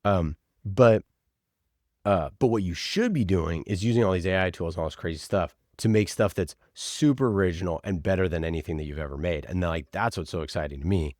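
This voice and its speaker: Low-voiced man giving emphatic podcast advice. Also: fairly quick, a little mellow, dry recording, studio quality. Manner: emphatic, opinionated, acts out imagined exchanges. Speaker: podcast producer, interview guest. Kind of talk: casual interview talk, giving advice.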